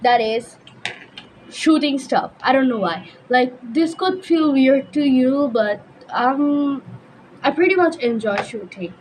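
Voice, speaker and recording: High-voiced teenage girl, noisy background. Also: slow pace, a little mellow. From Bangladesh, raised mostly in Dhaka. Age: teenager, fifteen. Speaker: YouTuber answering viewer questions. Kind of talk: casual chatty Q&A.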